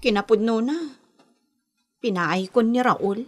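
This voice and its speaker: A woman's voice: fairly high pitch, a little slow, darkish tone, faint music behind, close to an everyday voice. A woman acting a scheming young woman in a radio drama, with a Filipino accent. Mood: coy, curious. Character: scheming young woman secretly seeing her best friend's boyfriend.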